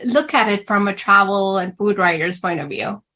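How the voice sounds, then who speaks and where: A woman answers as a guest on a radio interview, explaining things steadily and in a measured way. She is a travel and food writer from India. Her pitch is in the everyday middle range. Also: bright, forceful tone. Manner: measured and explanatory, with some fillers.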